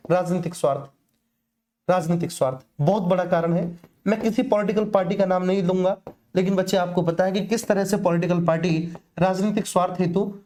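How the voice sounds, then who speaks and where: Mellow-voiced teacher repeating key points. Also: medium pitch. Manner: repeats phrases, checks understanding, addresses students as children. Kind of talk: online exam-prep lesson, explaining to students.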